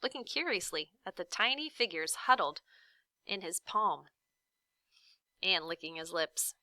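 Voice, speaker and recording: A woman's voice: on the low side, animated, unhurried, sharp tone, dry recording. A woman narrating a children's book aloud expressively, like a teacher reading to a class.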